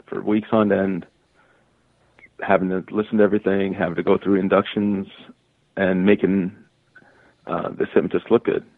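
A man's voice: low voice, flat delivery, normal speed, creaky, long pauses, dry recording. A man, talking casually as an interview guest and telling stories in a conversational way. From Canada. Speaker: interview guest, stage entertainer. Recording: tinny phone-like line, dry.

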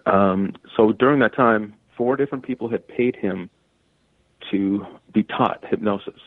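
A man's voice: fairly low pitch, unhurried, no room sound. A man, talking casually as an interview guest and telling stories in a conversational way. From Canada. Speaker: interview guest, stage entertainer. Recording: tinny phone-like line, dry.

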